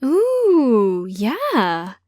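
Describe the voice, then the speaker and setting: A woman voicing a caring, gentle mother of a grown child in an audiobook story. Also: on the high side, huge pitch swings, very slow, no room sound, studio quality, pitched above the performer's own voice, full character voice. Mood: eager.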